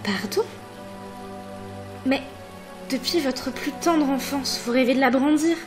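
A woman's voice: high-pitched, slow, over music. A woman playing a devoted, anxious elf servant in a fantasy audio drama, earnest, deferential and pleading. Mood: alarmed. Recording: roomy echo, slightly distant microphone.

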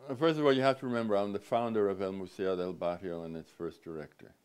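A man's voice: low-pitched, fairly even intonation, quick pace, a little mellow, clean tone. An older man being interviewed, talking firmly and emphatically about his identity. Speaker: museum founder interviewed.

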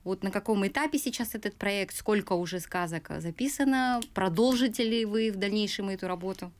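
A woman's voice: medium pitch, a little fast. A middle-aged woman hosting a live studio talk show, speaking in formal, scripted announcer phrasing. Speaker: program host. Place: small radio studio. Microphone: studio boom mic and headphones.

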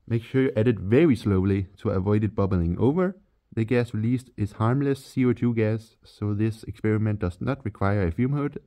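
A man's voice: lowish voice, warm tone. A man from Denmark with a Danish accent, giving a step-by-step chemistry voice-over for his channel. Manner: plain, step-by-step instructional. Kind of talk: scripted voice-over tutorial.

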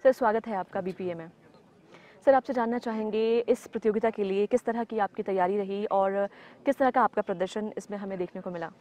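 A woman's voice: medium pitch, a little fast, dark tone. A woman interviewer asking formal, polite questions.

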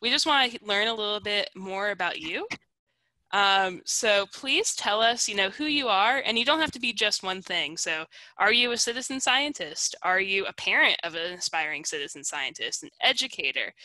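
A bubbly woman in her thirties runs a webinar over a headset mic, and her voice sounds bright and clear. The sound is dry and close, with no room around it. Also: medium pitch, expressive, normal speed, dry recording, rough recording. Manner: bubbly and encouraging. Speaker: webinar host. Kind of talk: upbeat webinar moderation. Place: home video call.

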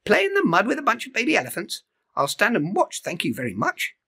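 A brisk, bossy little winged female creature from a storybook, voiced by an older man. She speaks high and very animatedly, coaxing with emphatic swoops. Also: wildly swooping pitch, gravelly, sharp tone, breathy edge, full character voice. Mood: indignant. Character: brisk, encouraging female winged friend of elephant.

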